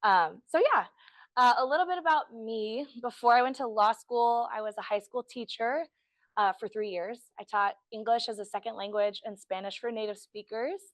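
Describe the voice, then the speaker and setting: A woman, an estate planning attorney giving a friendly educational talk, a little hoarse from allergies. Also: fairly high pitch, normal speed, dry recording. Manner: friendly, self-deprecating, chatty with fillers. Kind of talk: educational presentation to a group. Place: meeting room.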